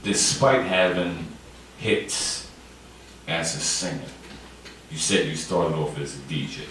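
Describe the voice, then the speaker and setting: Deep-voiced male radio host, echoey. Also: fairly bright tone. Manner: halting, repeats his words. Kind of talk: interviewing a guest. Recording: echoey, distant, faint noise.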